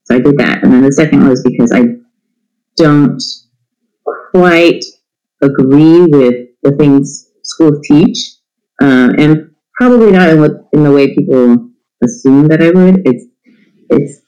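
A man's voice: a little high, unhurried, pauses often, dark tone, muffled recording. A middle-aged man, chatting casually as an interview guest in a candid, irreverent, self-deprecating way. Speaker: interview guest, homeschooling parent. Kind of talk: casual chat.

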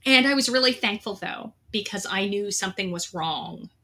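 A woman's voice: mid-range voice, moderate pace, bright, forceful tone, a little hiss or hum. A middle-aged woman telling her own story in an earnest, reflective way, with a few light laughs. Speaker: guest, veteran. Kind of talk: candid personal storytelling.

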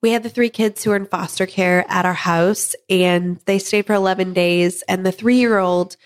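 A woman in her thirties hosting a podcast with her husband, her voice hoarse from a head cold, chatting casually and laughing now and then. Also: on the low side, fairly even intonation, normal speed. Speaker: podcast host.

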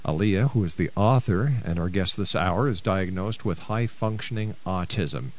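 A man's voice: sits low, moderate pace, a little hiss or hum. A man hosting a radio interview, speaking as a presenter to his guest and listeners. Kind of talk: radio interview, partly reading a book synopsis.

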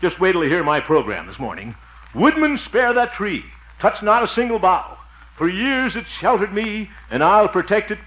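An American man acting a pompous, excitable, good-hearted small-town crusader in a 1950s radio sitcom, with theatrical bluster. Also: high-pitched, moderate pace. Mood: blustering indignation. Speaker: lead actor in a radio comedy. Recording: muffled, noisy 1950s radio recording.

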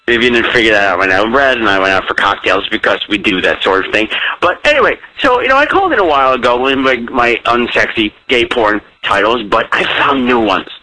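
A man's voice: mid-range voice, animated, brisk, hardly any pauses, sharp tone, no room sound, low-quality recording. A drunk man rambling in a campy, comic way as he leaves a casual voicemail over the phone. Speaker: listener phoning in a voicemail.

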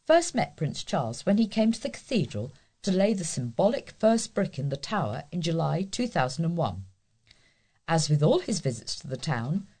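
A woman's voice: on the low side, darkish tone, slightly rough. A woman volunteer reading a local news feature aloud. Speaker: volunteer newspaper reader.

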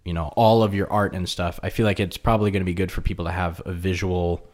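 A man's voice: low voice, fast talker. A man hosting a casual podcast at his kitchen table, chatting easily with a guest with lots of fillers. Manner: relaxed, friendly, lots of fillers. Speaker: podcast host. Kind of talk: casual interview chat. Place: home kitchen.

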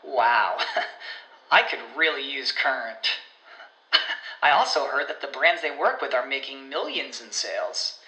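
A woman plays a caller leaving a chatty voicemail in a scripted ad. Her voice is bright and sharp, and it rings in an echoey room. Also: rumbling low, animated, a little slow. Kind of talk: scripted ad read.

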